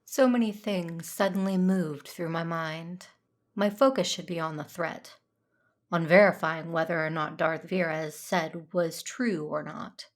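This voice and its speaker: A troubled, dutiful Jedi woman voiced by an actress narrating a science fiction audio drama. Her voice sits fairly low, and she speaks earnestly, turning inward with a conflicted, reflective delivery. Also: fairly even intonation, measured pace, lightly acted.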